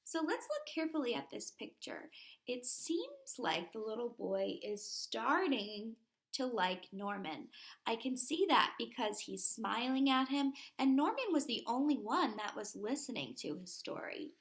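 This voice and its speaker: Slow, animated woman reading a picture book. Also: a little high. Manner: deliberate, explains and comments on the pictures. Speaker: teacher reading aloud to a class. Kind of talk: expressive children's picture book read-aloud.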